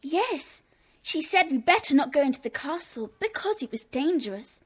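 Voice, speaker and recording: Cheeky, stubborn schoolgirl, high and very lively, teasing, for a children's radio play. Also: moderate pace. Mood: boastful. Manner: teasing, smug, emphatic. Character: cheeky, stubborn young girl, smug little sister. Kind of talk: children's audio drama acting.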